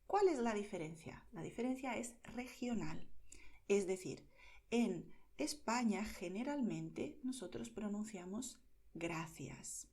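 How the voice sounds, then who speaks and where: A woman from Spain with a Madrid accent teaching Spanish pronunciation, demonstrating words carefully, sometimes softly, and checking in with "¿vale?". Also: mid-range voice, lively intonation, slow. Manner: demonstrates words, often softly whispered, asks "¿vale?" constantly. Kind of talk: slow, careful pronunciation lesson.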